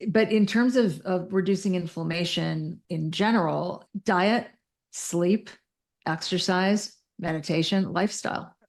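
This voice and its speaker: Female clinician guest, noticeable vocal fry, lowish pitch, precise technical talk with self-corrections in a conversational interview. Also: a little slow.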